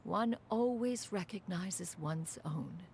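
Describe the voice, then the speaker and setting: A woman's voice: on the low side, lively intonation, slow pace, gravelly, darkish tone, a little hiss or hum, exaggerated voice. A woman playing a proud, motherly immortal crane master with formal, archaic diction in a dramatic game. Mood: tender, dignified.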